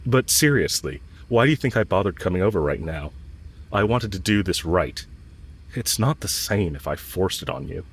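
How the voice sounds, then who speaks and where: A man playing a lovestruck villain in an intimate audio roleplay, speaking with earnest, halting hesitation and yearning. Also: deep voice, lively intonation, breathy edge, full character voice. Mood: earnest, hopeful. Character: lovestruck male villain confessing to his enemy, yearning.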